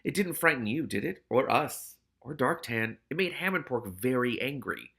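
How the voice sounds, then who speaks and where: A man's voice: mid-range voice, expressive, normal speed, vocal fry, a bit bright, breathy edge, exaggerated voice. A man voicing a female rat who is coolly accusing and asks pointed questions in a storybook. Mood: challenging.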